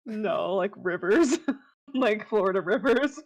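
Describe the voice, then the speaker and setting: A woman's voice: mid-range voice, expressive, measured pace. A woman chatting casually in a group, giggly and self-deprecating.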